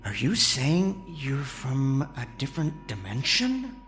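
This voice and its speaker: A man playing an excitable, kindly amateur inventor in a radio drama, stammering and folksy. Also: medium pitch, takes it slowly, faint music behind, heavily acted. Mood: curious. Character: excitable, kindly amateur inventor, male former teleportation engineer.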